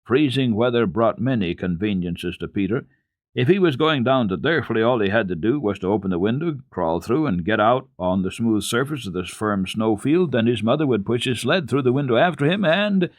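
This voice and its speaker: A man reading a classic children's story aloud as a radio narrator, with expressive, deliberate phrasing and a storyteller's emphasis. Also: mid-range voice, dry recording, studio quality.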